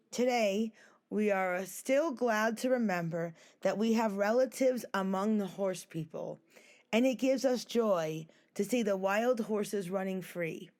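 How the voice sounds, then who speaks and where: Lady reading a kids picture book real slow and gentle. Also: mid-range voice. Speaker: staff reader.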